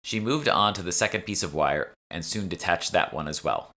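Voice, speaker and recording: Deep-voiced man reading an audiobook. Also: normal speed, sharp tone. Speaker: author reading his own audiobook. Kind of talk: scripted first-person fantasy audiobook narration. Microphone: close USB studio microphone.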